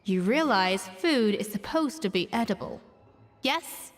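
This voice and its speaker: An actress playing an exacting, refined chef who judges dishes in a cutting, dryly sarcastic way. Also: female voice, mid-range voice, lively intonation, a little slow, long reverb tail, soft background music, lightly acted. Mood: critical. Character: exacting refined female chef, sharp-tongued critic.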